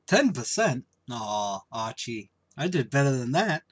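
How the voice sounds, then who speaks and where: A man playing a cheerfully dim-witted bungler in an old-time radio comedy, slow on the uptake and proud of himself. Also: mid-range voice, sing-song, slightly rough, no room sound, studio quality. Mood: proud, oblivious. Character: Finnegan, dim-witted, cheerfully bungling male tavern regular.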